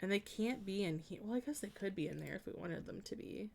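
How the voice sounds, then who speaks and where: A woman streaming live, musing out loud as she works something out, often trailing off and starting her sentences over. Between those hesitant stretches she turns friendly and chatty with her viewers. Her pitch sits in an ordinary middle range for a woman. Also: lively intonation, fast, creaky, slight background noise. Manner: thinking aloud, hesitant and meandering, with frequent trailing restarts; chatty and friendly when greeting and answering viewers. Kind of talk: casual live-stream chat while building in a life-sim game.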